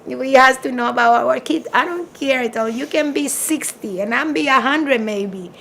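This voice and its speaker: A woman's voice: sits high, moderate pace, gravelly, a bit bright, slight background noise. A woman in her fifties from El Salvador with a Salvadoran accent, Spanish her first language, speaking in a heartfelt, reflective way in a documentary interview, often restarting her phrases. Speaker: barber shop owner.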